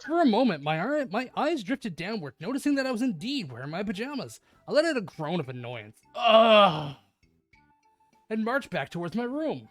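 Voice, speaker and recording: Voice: medium pitch, very animated, fairly quick, few pauses, no room sound, faint music behind. A streamer reading a visual novel aloud with crude comedic ad-libs, often laughing at their own jokes. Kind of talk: live comedic read-along with ad-libs.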